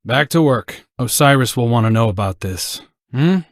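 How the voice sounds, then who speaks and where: A man's voice: medium pitch, animated, normal speed, no echo, clean studio sound, natural voice. A man playing an earnest, slightly awkward young scout in a video game, muttering casually as if to himself. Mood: casual, attentive. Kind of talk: video game character voice lines.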